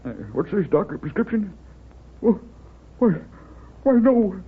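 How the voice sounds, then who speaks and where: An actor playing an earnest, guileless young small-town man in a 1930s radio drama, with halting folksy speech. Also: sits high, expressive, husky, full character voice. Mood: astonished, grateful. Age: young adult. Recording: tinny, faintly noisy 1930s radio broadcast.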